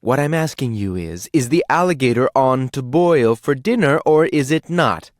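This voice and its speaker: A man voicing a bossy, fussy cave housewife who scolds her husband in a raised, shrill voice. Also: lively intonation, no echo, clean studio sound, lightly acted. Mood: scolding. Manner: scolding, shrill, fast-changing fuss.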